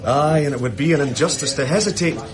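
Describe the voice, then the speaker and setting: An actor playing a pompous, effusive official in a radio drama, grandiose and theatrical in delivery. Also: male voice, on the high side, fast talker, over music, close to an everyday voice. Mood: effusive admiration. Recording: crowd murmur behind the voice.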